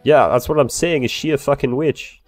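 A man improvising in character during a role-play game, excitable and swearing freely. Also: mid-range voice, normal speed, no echo, faint music behind. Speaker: role-play player, bar owner character.

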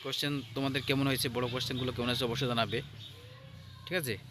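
A man giving an informal lesson as an exam tutor, halting and repeating himself as he checks in with listeners. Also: medium pitch, little pitch movement, noisy background. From India. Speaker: exam tutor presenting answer keys.

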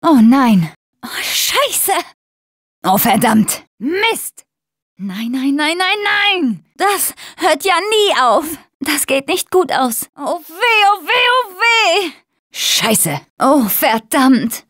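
A young female zombie-apocalypse survivor from a video game, with a high voice. She talks tough but cares for her team, and delivers her short lines at an unhurried pace. The sound is dry and close. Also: very animated, a bit bright, dry recording, full character voice. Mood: dismayed.

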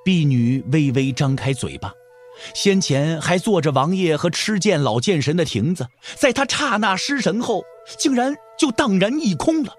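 A man's voice: sits high, animated, normal speed, soft background music. A man narrating a martial arts novel for an audio drama in a dramatic storytelling style.

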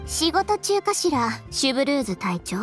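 An actress playing a blunt, sharp-tongued young woman fashion designer in an anime-style video game, speaking in a curt, assertive way. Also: high voice, sing-song, normal speed, faint music behind. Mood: cool, insistent.

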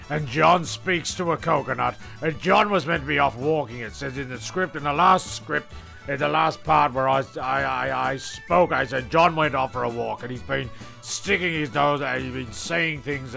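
A man's voice: medium pitch, normal speed, creaky, sharp tone, faint music behind. A man narrating a comedy script loosely, rambling and stumbling over his reading.

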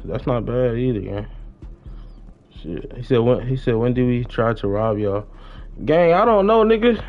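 A man's voice: mid-range voice, expressive, normal speed. Young American male game streamer, slangy, repetitive casual chat, mid-range voice, dull lo-fi mic. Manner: slangy, repetitive greetings to viewers. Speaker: livestreamer. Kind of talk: casual gaming livestream chat.

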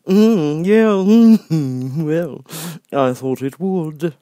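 A man's voice: a little high, extremely slow, dark tone, no room sound, studio quality, pitched above the performer's own voice. A man voicing a grinning, mad, teasing Cheshire cat in an audiobook, drawling his words and breaking into giggles and hums. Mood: musing, sly.

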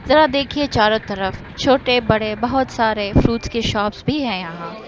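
A woman's voice: a little high, moderate pace, muffled recording, background noise. A woman narrating a travel vlog as host in a chatty, enthusiastic way, switching between Hindi and English. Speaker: vlogger host. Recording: voice-over with clear background music.

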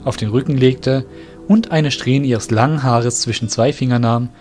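A man narrating a fan-made audio drama in a calm, even storytelling manner. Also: mid-range voice, normal speed. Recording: soft background music.

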